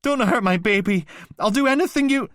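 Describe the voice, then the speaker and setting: A man's voice: high-pitched, moderate pace, no echo, studio quality, pitched above the performer's own voice. A man voicing a terrified young woman on a recorded emergency call, panicked and pleading.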